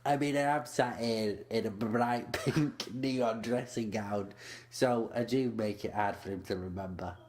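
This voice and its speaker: A young man co-hosting a comedy podcast, sweary and deadpan, teasing his friend. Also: fairly low pitch, a little slow. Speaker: podcast co-host. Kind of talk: casual comedy podcast chat.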